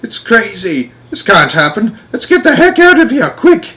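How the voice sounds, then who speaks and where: A man voicing panicked, shouting men from a crowd in a storybook reading. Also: sits high, huge pitch swings, normal speed, voice pushed up, heavily acted. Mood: frantic alarm. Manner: frantic shouting.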